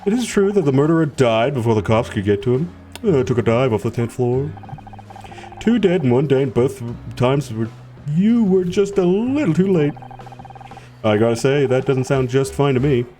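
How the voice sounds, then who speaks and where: A man's voice: mid-range voice, sing-song, mellow, soft background music, close to an everyday voice. Man doing a smug sleazy ex-husband. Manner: slow, smug, drawn-out.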